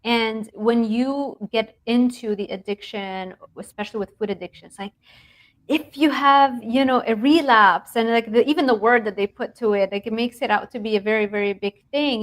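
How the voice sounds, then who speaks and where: Woman from lebanon, thirties, animated casual talk, laughs often, restarts sentences, video-call interview. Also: a little high. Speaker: guest nutrition researcher.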